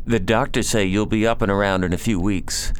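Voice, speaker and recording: A man's voice: lowish voice, fairly even intonation, fairly quick, slight background noise, natural voice, low-quality recording. An actor playing a nobleman's loyal friend, earnestly explaining bad news in a science fiction audio drama.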